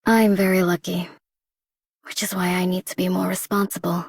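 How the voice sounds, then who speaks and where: A reserved, solemn game operator. Her voice is husky and creaky, kept fairly even, with a quiet, dutiful seriousness. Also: fairly low pitch, normal speed, no room sound. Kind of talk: English video game character voice lines.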